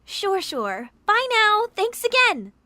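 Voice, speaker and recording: A woman's voice: very high voice, sing-song, takes it slowly, pushed and bright, no room sound, full character voice. A woman acting a bubbly, theatrical young bride-to-be and best friend in an audio drama, with a wheedling manner. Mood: cheerful.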